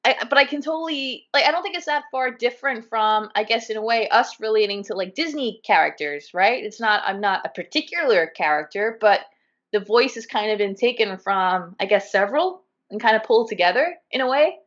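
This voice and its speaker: A woman with a Californian accent chatting in an animated, casual way, full of fillers like "um" and "like," over a video call. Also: a little high, a little fast. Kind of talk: casual conversation. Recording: muffled video call audio.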